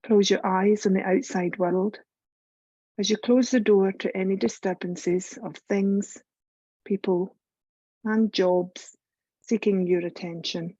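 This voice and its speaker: A woman leading a guided meditation as a yoga teacher, speaking in a soothing, unhurried, instructive way. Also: mid-range voice, fairly even intonation, warm tone, dry recording, dull audio. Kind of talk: guided meditation, scripted narration.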